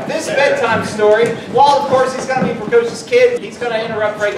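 A man's voice: sits high, heavy background noise. Male improv host on an echoey stage. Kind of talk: casual game introduction to audience. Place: indoor theatre stage. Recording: echoey stage hall, distant mic, audience.

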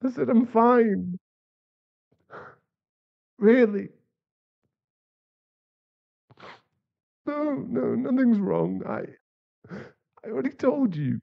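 A man playing a distraught, guilt-ridden werewolf boyfriend in an intimate ASMR roleplay, halting and pleading. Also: very high-pitched, sing-song, gravelly, dull audio, exaggerated voice. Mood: tearful, defensive. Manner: halting, pleading, emotionally fragile.

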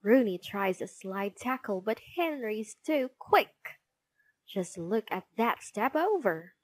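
A woman playing an excitable, thrilled football match commentator in a children's storybook. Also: medium pitch, takes it slowly. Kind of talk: sports commentary.

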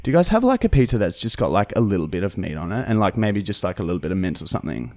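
A man's voice: deep voice, sing-song, darkish tone, dry recording. An Australian man putting on a hesitant, self-righteous vegan customer who rambles and gets sidetracked during a prank phone call. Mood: hesitant, picky. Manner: halting, rambling, peppered with "like". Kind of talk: prank phone call put on for a comedy podcast. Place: indoor podcast studio. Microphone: close studio microphone.

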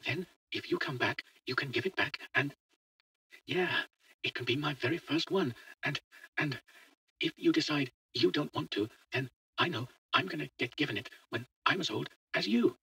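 Man doing a light, lively eleven-year-old boy. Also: a little high, normal speed, gravelly, husky, no echo, distant mic, pitched above the performer's own voice, heavily acted, low-quality recording. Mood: bright, eager. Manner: simple, childlike, matter-of-fact. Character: fearless, chatty eleven-year-old boy, kind and matter-of-fact. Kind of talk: audio short story dialogue.

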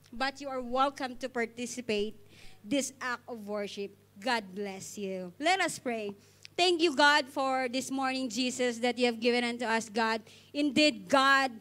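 High-pitched Filipino woman, slow devotional talk. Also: a bit bright. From Philippines. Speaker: church speaker leading offering. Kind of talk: devotional talk and prayer to a congregation. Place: indoor church stage. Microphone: handheld microphone.

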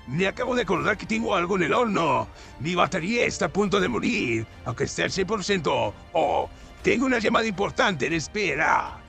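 Gravelly, animated male comedy narrator. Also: a little high. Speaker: narrator of a comedy survival-tips show. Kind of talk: scripted narration, mock survival guide.

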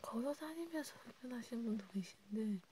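A woman whispering in a breathy, hushed, intimate way, chatting casually as in an ASMR roleplay. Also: fairly high pitch, fairly quick. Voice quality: breathy, mostly unvoiced whisper. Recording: steady background noise.

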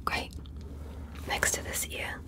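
A woman whispering in an ASMR roleplay as a courteous alien doctor, giving careful, formal instructions in a breathy voice. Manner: slow, careful, gently instructing, formal wording. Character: curious, courteous alien doctor examining a human. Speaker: ASMR performer playing an examiner.

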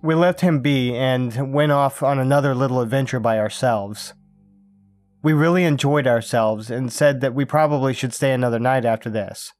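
A man reading a first-person scary camping story aloud in a calm, even storytelling manner. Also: medium pitch, no echo. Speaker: narrator. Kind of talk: scripted horror story narration.

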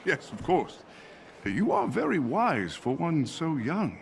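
A pompous jolly thunder god for a video game, stately n formal, bit of background noise. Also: male voice, fairly high pitch, wildly swooping pitch, slow, vocal fry, exaggerated voice. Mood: jovial. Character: hearty, grandiose male thunder god warrior. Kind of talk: cinematic video game acting.

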